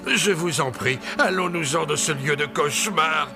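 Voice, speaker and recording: An actor playing a fearful, repentant old miser with a creaky voice in a dramatic cartoon dub. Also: male voice, fairly high pitch, moderate pace. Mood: pleading. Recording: music behind the voice.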